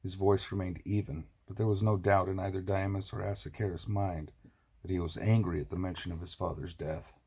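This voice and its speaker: A man reading a novel aloud as a scripted narration, in a husky, measured, dramatic storytelling voice. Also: deep voice, fairly even intonation, mellow, slight background noise. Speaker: audiobook reader.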